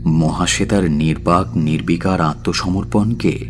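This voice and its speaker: A man narrating a literary audio story in a measured, solemn and dramatic way. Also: low-pitched, steady tone. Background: faint music.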